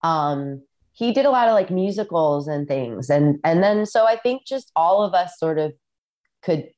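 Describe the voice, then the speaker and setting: A woman's voice: sits low, lively intonation, unhurried, mellow. A woman from the Midwest, a musician guest, talking casually over a video call and telling stories with lots of "like"s. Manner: rambling, filler-heavy storytelling with many "like"s. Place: farmhouse in rural Iowa.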